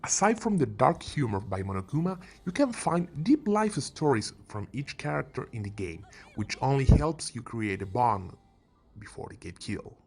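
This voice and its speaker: A man's voice: mid-range voice, big pitch swings, normal speed, vocal fry, darkish tone, breathy edge. A man hosting a scripted video with game recommendations, playful and emphatic on key words, with joking asides. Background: background music.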